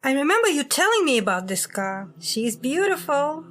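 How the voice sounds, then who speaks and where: A woman playing a brash, sharp-tongued secret agent on the run in an audio drama, blunt and sardonic. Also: high voice, very animated, moderate pace, faint music behind. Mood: flirty, wry.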